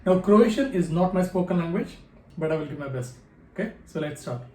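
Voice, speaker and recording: Man, fairly high voice, unhurried and careful, roomy echo on the recording. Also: slight background noise. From India. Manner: calm, measured, pausing between words. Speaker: memory athlete vlogger. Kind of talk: slow, deliberate recitation to camera. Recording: echoey room.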